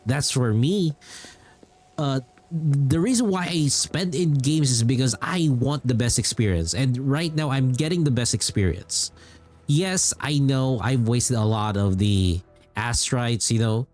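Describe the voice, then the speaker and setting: A man's voice: mid-range voice, lively intonation, unhurried, a little mellow. A man, casually rambling as a game streamer and repeating phrases for emphasis. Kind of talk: casual livestream chat about games. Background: music.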